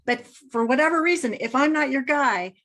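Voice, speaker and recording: A woman's voice: high-pitched, moderate pace. A middle-aged woman hosting a podcast, telling stories with enthusiasm and acting out quotes. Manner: enthusiastic storyteller, quotes others in lively voices. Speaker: podcast host. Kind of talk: casual interview chat.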